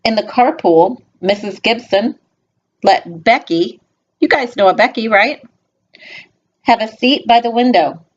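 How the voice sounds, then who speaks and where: A woman reading a picture book aloud to young children, expressive, with informal, gently instructive asides spoken directly to them. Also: a little high, unhurried, pauses often. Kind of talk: read-aloud storytelling with chatty asides. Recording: dull lo-fi home video sound.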